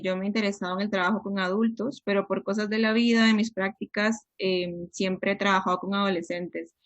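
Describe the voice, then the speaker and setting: A young adult woman, a psychologist guest explaining things in a measured way in a podcast interview. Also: mid-range voice, muffled recording. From Peru, raised in Costa Rica.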